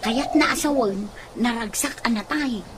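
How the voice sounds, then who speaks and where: An actress plays a gossipy young woman in a comic radio drama. Her voice is high and she talks in a chatty, exclamatory way, readily astonished. The recording has clear background noise. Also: unhurried, close to an everyday voice. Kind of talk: comedic radio drama acting.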